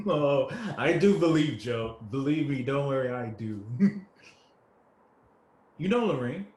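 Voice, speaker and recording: A man playing an easygoing, good-natured homeless man in a play reading, gentle and appreciative, with folksy street talk, recorded in an echoey room. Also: medium pitch, lively intonation, takes it slowly. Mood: playful. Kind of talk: dramatic table reading of a stage play. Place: indoor room with echo, virtual reading.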